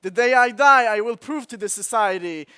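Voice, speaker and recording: Young Swedish-accented male keynote speaker, fervent and rhetorical, high clear voice. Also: moderate pace, sharp tone. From Sweden. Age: 20s. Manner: impassioned, rhetorical repetition. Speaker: conference speaker. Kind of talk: motivational keynote speech. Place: conference hall.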